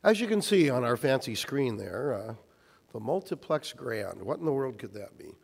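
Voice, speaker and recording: Croaky man lecturing in a reverberant hall. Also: medium pitch, very animated, moderate pace. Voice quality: croaky, recovering voice. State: hoarse, getting over voice loss. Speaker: speaker giving a history presentation. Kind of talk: prepared lecture read aloud to an audience. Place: large echoing room with a presentation screen.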